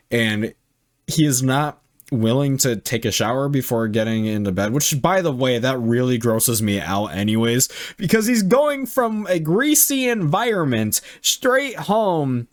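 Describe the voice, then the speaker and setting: A young man reading online stories aloud and reacting bluntly, with frequent swearing. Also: fairly high pitch. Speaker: reaction video host.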